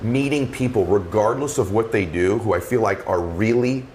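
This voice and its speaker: A deep-voiced middle-aged man hosting a podcast, talking with eager, effusive energy. His low voice carries over a faint hiss of background noise. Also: moderate pace. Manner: effusive, animated, heaps on praise. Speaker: podcast host. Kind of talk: enthusiastic interview chat.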